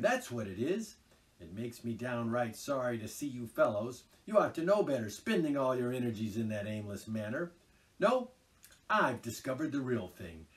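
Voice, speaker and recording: Man doing a gushing boastful toad, high and loud, wildly swooping voice, mic set back. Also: distant mic. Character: boastful rich male toad, boisterous and excitable.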